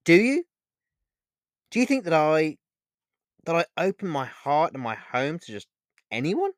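A man playing a charming, intense lover in a romance audiobook, speaking formally and repeating his phrases haltingly. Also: mid-range voice, expressive, no echo, clean studio sound, close to an everyday voice. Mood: angry, hurt. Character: handsome, charming, wounded, intense male lover. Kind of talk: dramatised romance audiobook dialogue.

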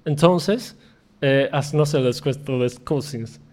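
A man's voice: medium pitch, slow pace, darkish tone. A young man from Spain co-hosting a podcast, telling a story in a rambling, self-mocking way and laughing partway through sentences. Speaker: podcast co-host. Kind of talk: casual storytelling chat.

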